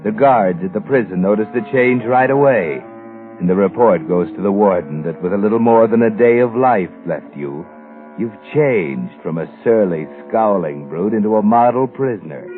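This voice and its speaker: Guy doing ominous knowing mystery narrator, low, sardonic, old muffled radio with music behind. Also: lively intonation, normal speed, natural voice. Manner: taunting second-person storytelling. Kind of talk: 1940s radio suspense narration.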